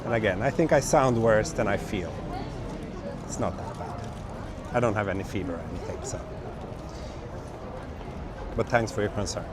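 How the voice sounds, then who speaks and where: A man with a hoarse voice from a cold, hosting a relaxed live walking stream and chatting with viewers through a clip-on mic. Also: fairly low pitch, moderate pace, pauses often. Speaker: livestream host. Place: busy city streets outdoors at night. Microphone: small wireless clip-on mic. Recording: outdoor street noise. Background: city traffic and street noise.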